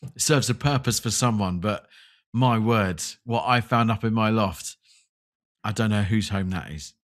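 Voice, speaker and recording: A man co-hosting a casual podcast chat. His humour is dry and wry. His voice is somewhat low. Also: measured pace. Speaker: podcast co-host.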